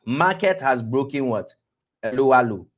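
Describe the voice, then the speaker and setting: A man with a West African accent hosting a live-streamed trading lesson, pausing to ask "what?" and answering himself, often repeating words as he goes. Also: medium pitch, animated. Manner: quizzes listeners with rhetorical "what?" prompts; repeats words and restarts phrases. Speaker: trading educator, stream host.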